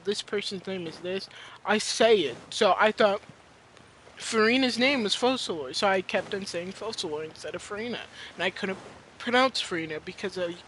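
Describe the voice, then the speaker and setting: A teenage girl rambling and reacting loudly while commenting on her gameplay. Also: medium pitch, a little hiss or hum, rough recording. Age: teens. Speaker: gameplay streamer.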